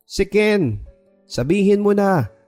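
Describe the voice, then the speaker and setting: A man's voice: high-pitched, animated, slow pace, a little mellow, pitched above the performer's own voice. A man voicing a stern, concerned male teacher with a firm manner in a radio drama, over music. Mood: prodding.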